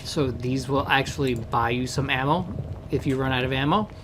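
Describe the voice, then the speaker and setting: A man with a hoarse voice from a cold, casually walking viewers through the game he developed, rambling with many fillers. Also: medium pitch, moderate pace, background noise.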